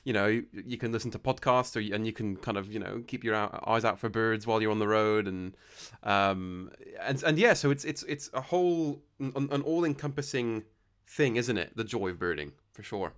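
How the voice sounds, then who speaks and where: A man's voice: lowish voice, animated, moderate pace, fairly bright tone. A young man hosting a podcast over a video call, chatting casually with lots of restarts and fillers, on a studio mic. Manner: rambling, many restarts and fillers. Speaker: podcast host. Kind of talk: casual interview chat. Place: small room, video call. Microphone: studio mic with headphones.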